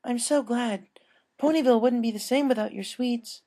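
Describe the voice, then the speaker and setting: Narrator doing friendly girl pony, very high, chatty and polite, storybook character. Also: normal speed, dark tone, close to an everyday voice.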